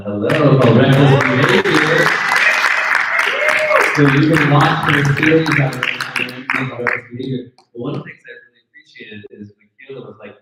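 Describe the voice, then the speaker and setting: A man's voice: mid-range voice, noisy background. An American man moderating an informal audience discussion as host. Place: indoor live event room. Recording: echoey room, distant dull mic.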